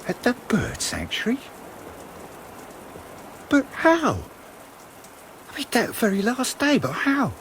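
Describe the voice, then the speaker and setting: A man's voice: extremely high pitch, wildly swooping pitch, takes it slowly, pauses often, a little hiss or hum, full character voice. An actor playing a terrified middle-aged man, panicked and disbelieving as he faces death. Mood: baffled fear. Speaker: radio drama actor. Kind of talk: 1980s radio drama acting. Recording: rain and thunder loud behind.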